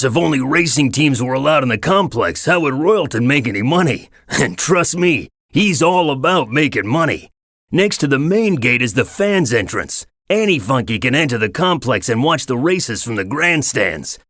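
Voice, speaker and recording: An actor playing a wry creature guide in a video game, with a showy announcer delivery and sly asides. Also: male voice, mid-range voice, sing-song, moderate pace, a bit bright, dry recording, clean studio sound. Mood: sly, upbeat. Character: wry Funkey creature guide to a racing complex. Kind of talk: video game character voice acting.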